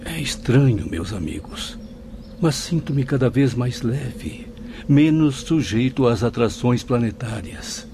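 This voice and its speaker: A man's voice: medium pitch, expressive, slow pace, noisy background. An actor playing a male spirit professor who speaks in a reflective, conversational way in a radio drama.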